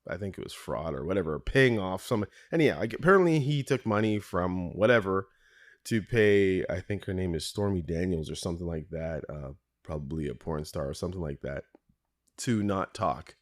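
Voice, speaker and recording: A man with a very low, raspy voice, worn hoarse by a cold, hosts his own podcast alone. He rambles from one aside to the next, correcting himself as he goes and chuckling often. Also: expressive, normal speed. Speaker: podcast host. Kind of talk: casual solo podcast monologue.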